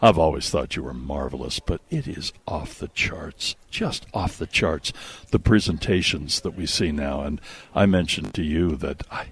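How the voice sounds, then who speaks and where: A man's voice: very deep voice, normal speed, breathy edge. A man hosting a radio movie show with casual, jokey banter and emphatic, conversational delivery. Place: radio studio.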